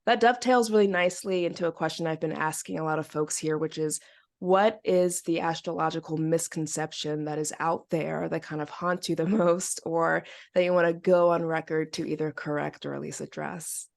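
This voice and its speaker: Female interview host, lowish voice with slight rasp from a lingering cold, casual and chatty delivery. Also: fairly even intonation, moderate pace. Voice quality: slightly raspy. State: getting over a cold.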